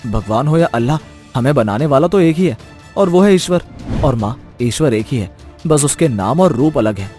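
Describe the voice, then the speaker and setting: A man voicing a young husband who explains things calmly and persuasively, over background music. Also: medium pitch, normal speed, natural voice. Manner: calm, persuasive. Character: gentle reasoning young husband. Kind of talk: animated moral story dubbing. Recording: music bed behind voice.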